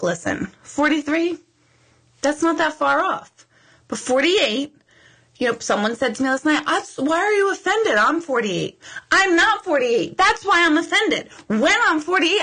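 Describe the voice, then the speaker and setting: A woman's voice: high voice, bright, forceful tone, slightly rough. A woman in her forties, a medium hosting a casual live chat with viewers, talking in a rambling way full of asides and mock outrage. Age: 40s.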